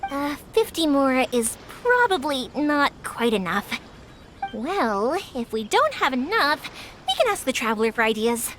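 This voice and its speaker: A woman's voice: high voice, big pitch swings, a little slow, background noise. A woman voicing an upbeat, encouraging young shopkeeper with a big-sister way of talking. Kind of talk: game voice acting.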